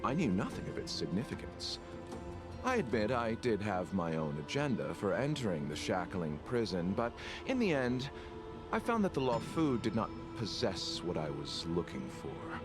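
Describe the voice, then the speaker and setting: An actor voicing a courteous, composed wandering merchant who speaks politely with a faint wry edge. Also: male voice, lowish voice, very animated, normal speed. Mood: measured. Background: game music.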